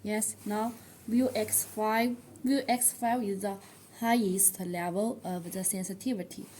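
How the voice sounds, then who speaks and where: Female presenter, non-native English speaker, unhurried step-by-step product tutorial, slightly noisy recording. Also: mid-range voice, fairly even intonation, warm tone. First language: not English. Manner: halting, step-by-step delivery with non-native phrasing.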